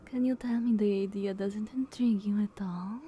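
A woman voicing a playful, eager younger vampire sister in a teasing, breathy ASMR roleplay. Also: medium pitch, steady tone, dark tone, slight background noise, close to an everyday voice. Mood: coaxing. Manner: slow, teasing, breathy half-whispers.

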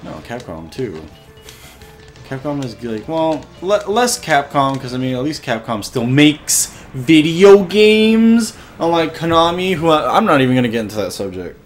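Excitable swearing young gamer guy. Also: medium pitch. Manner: excitable, swearing, frustrated outbursts. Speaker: let's play host. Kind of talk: casual gameplay commentary.

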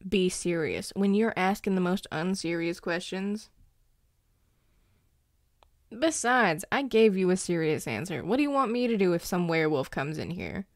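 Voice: mid-range voice, lively intonation, normal speed, dry recording, close to an everyday voice. An actor playing a grumpy but caring werewolf boyfriend in an intimate audio roleplay, dry and exasperated, with sighs. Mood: sarcastic, teasing. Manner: sighing, dry, exasperated, teasing.

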